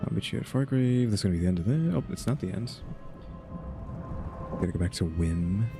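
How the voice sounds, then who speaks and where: A man's voice: on the low side, expressive, brisk, creaky, music in the background. A man commentating casually on a video game he is playing, grumbling at its story. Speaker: gaming video host.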